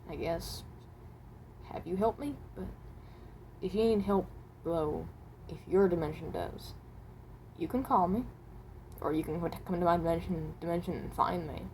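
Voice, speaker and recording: A haunted animatronic with the soul of a seventeen-year-old, voiced by a woman, speaks in a slightly low voice. The delivery is awkward and guarded, wandering through hesitations and unfinished thoughts like an improvised amateur audio drama. A light layer of noise sits on the home recording. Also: unhurried, pauses often, a little mellow. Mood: reluctant, guarded. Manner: hesitant, rambling, trailing off. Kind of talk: amateur character voice acting, improvised monologue.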